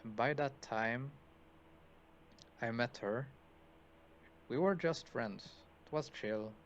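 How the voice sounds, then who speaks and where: A man's voice: medium pitch, takes it slowly, lo-fi sound, slight background noise. A young man telling a personal story in a rambling, casual way, full of "like".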